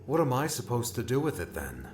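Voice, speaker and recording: A man's voice: medium pitch, big pitch swings, brisk, natural voice. An actor playing an earnest, questioning young man in an audiobook drama. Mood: urgent, questioning. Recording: roomy echo, music behind the voice.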